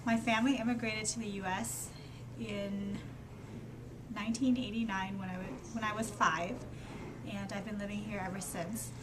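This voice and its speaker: A twenty-four-year-old woman from Thailand answering interview questions in a measured, thoughtful way. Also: mid-range voice, fairly even intonation. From refugee camp in Thailand. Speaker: interviewee, college graduate. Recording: interview with clear background noise.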